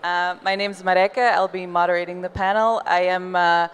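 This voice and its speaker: A woman's voice: lowish voice, level tone, normal speed. A woman from Belgium, speaking with an American accent, moderates a panel on a conference stage. She talks into a handheld mic in a casual, loose way with plenty of fillers. A faint background hum sits under her voice. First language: not English. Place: large conference hall stage.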